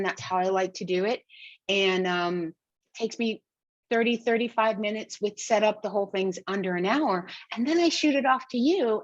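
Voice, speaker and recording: A woman's voice: on the low side, dry recording, lo-fi sound. A middle-aged woman hosting a podcast, talking through her process in a chatty way with fillers and self-corrections. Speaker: podcast host. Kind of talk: casual interview chat.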